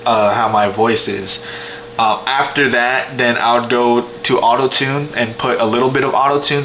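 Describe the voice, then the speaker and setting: A young man talks through a home recording tutorial in a loose, rambling way, full of "um"s and restarts. His voice has a bright edge at an ordinary pitch. He's at a desk mic in a small home room, with a faint hiss underneath. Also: poor audio. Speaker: music production tutor. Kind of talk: casual screen-share tutorial. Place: small home kitchen, indoors.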